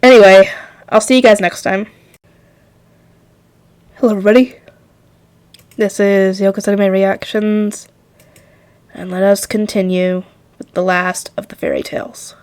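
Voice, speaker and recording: A woman's voice: mid-range voice, steady tone, unhurried, long pauses, a little mellow, smooth. A woman hosting a reaction video, giving casual off-the-cuff commentary.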